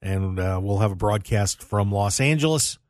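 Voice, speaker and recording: A man's voice: on the low side, expressive, normal speed, no room sound, studio quality. A man hosting a radio show in a casual sports-talk style. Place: radio studio.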